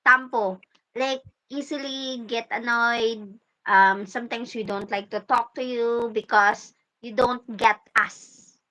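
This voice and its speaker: A woman's voice: fairly high pitch, fairly even intonation, slow pace, sharp tone, rough recording. A Filipino woman whose first language is Masbateño, speaking English with a Filipino accent as co-host of a casual chat, earnest and explaining in simple phrases. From Philippines.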